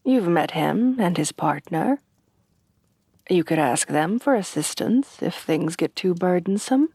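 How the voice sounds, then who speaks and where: A woman plays an elegant headless horsewoman bride, devoted and formal, in an intimate ASMR roleplay. She speaks very slowly and softly, with a breathy tone that often sinks into a whisper. The sound is close and dry, without room echo. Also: lowish voice, animated, exaggerated voice. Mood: gentle, doting. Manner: refined, formal, courtly, tenderly doting. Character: refined, devoted headless horsewoman bride-to-be, adult monster girl. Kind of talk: soft-voiced romantic ASMR roleplay.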